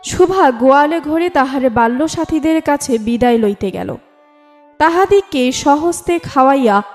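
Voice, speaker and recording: High-voiced woman narrating a literary story. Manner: formal storytelling delivery. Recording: music bed under the voice. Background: instrumental music.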